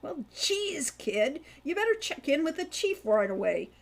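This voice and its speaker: A woman's voice: high-pitched, very animated, unhurried, voice pushed up, exaggerated voice. A woman voicing an awkward, good-natured young male thief in an audiobook, slangy and folksy with a stammer. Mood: easygoing, slangy.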